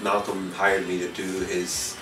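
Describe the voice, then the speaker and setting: A middle-aged man from Puerto Rico, raised in New York City, talking casually and a little haltingly as a fashion designer being interviewed. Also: sits low, flat delivery, recorded from a distance. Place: designer's indoor studio with sewing machines. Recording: echoey room, off-mic, music behind.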